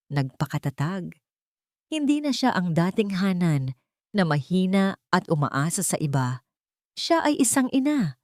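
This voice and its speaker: A woman with a lowish voice narrates a dramatic story. Her delivery is earnest and measured, full of feeling, recorded close and dry with little room sound. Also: a little mellow, no room sound. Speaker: audiobook narrator.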